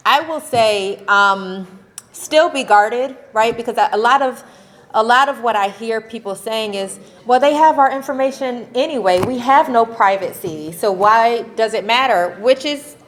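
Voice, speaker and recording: A woman advocate speaking on a convention panel, animated and laughing often, ending her points with "right?". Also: on the high side, a little slow. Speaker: faith-based advocacy panelist. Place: convention session room.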